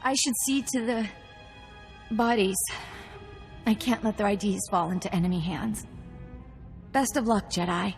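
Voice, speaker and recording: A woman's voice: mid-range voice, a little slow, pauses often, lightly acted. Woman acting troubled officer, echoing hall. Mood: grim, urgent. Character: shaken, weary female officer in a war zone. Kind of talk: video game cutscene acting. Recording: long hall reverb, faint music.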